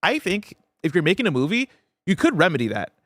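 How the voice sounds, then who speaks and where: A man's voice: high voice, huge pitch swings, fast, a bit bright, no echo. A man hosting a games news show, talking in a casual, analytical, conversational way. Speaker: podcast host.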